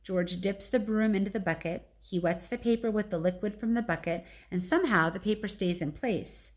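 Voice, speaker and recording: A woman narrates a children's book in the manner of a teacher reading to pupils. Her voice sits at a middle pitch as she tells the story. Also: brisk. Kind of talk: storybook read-aloud narration.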